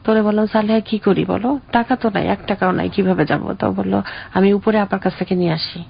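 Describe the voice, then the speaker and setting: A middle-aged woman with a Bangladeshi accent and a husky, creaky voice, telling her personal story as an interview guest. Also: mid-range voice, steady tone, quick pace, muffled recording, a little hiss or hum.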